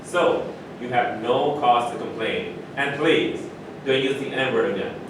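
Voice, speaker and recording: A proud retired athlete, played by a man, argues with self-assurance in a staged play reading. The sound comes from far off in a very echoey room, over clear rumbling noise. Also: a little slow, lightly acted. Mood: indignant. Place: live staged reading in a room, distant microphone.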